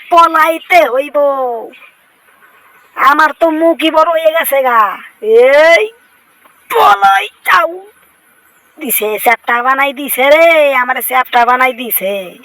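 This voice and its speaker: Bright, brash cartoon cat put on by a dubber. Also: sits high, lively intonation, slow pace, creaky, pauses often, full character voice, rough recording. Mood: panicked. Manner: exclamatory, whining and bragging, talking to himself. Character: boastful, vengeful cartoon cat obsessed with getting strong. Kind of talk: comic fan-dub cartoon voice-over.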